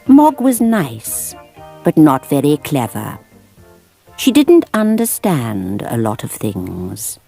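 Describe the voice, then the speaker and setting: A woman narrating a children's picture book aloud in an expressive storytelling manner. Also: extremely low pitch, slow, gravelly, breathy edge, soft background music.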